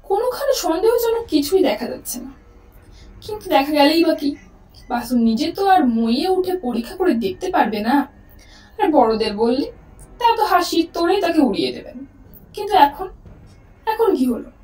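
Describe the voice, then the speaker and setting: A woman's voice: very high-pitched, sing-song, moderate pace, pauses often, darkish tone, reverberant, far from the mic, rough recording. A woman narrating a scripted story expressively, as a storyteller.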